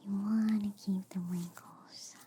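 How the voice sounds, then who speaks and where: A woman whispering softly and breathily in a soothing, playful ASMR roleplay, close to the microphone. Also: medium pitch, fairly even intonation, slight background noise. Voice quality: soft, breathy, mostly whispered. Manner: soothing, unhurried, playful reassurance. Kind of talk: soft ASMR roleplay as a friendly alien doctor.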